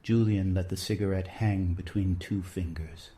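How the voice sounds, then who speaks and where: A man narrating a scripted literary story in a measured, solemn manner. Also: low-pitched, steady tone, warm tone.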